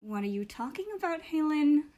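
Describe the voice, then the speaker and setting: A woman's voice: high-pitched, lively intonation, normal speed, mellow, a little hiss or hum. A woman voicing a brash, dramatic, bickering schoolgirl in an amateur comic fandub. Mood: defensive.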